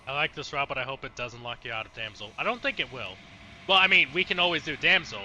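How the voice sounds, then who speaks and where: A man's voice: medium pitch, animated, fast talker, bright, forceful tone, a little hiss or hum. A man doing casual let's play commentary, thinking aloud and reading game choices out.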